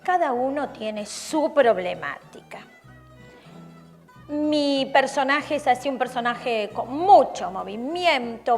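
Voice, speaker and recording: An actress describing her busy role in an interview. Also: a little high.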